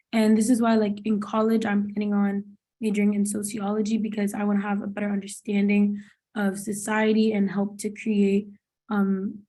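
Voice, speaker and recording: A woman's voice: medium pitch, mellow. A young woman, a student panelist, giving a thoughtful answer in her own words.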